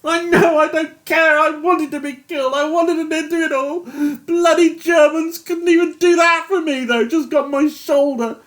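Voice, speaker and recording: A narrator voicing a young British army captain who is shattered and sobbing, in a high, wailing, breaking voice. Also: big pitch swings, brisk, few pauses. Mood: distraught. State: crying.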